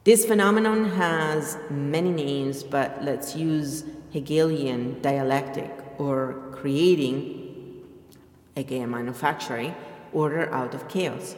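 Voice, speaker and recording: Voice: medium pitch, slow. A podcast host reading a book aloud and adding casual commentary, with emphatic stress on key words. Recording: echoey room with long reverb.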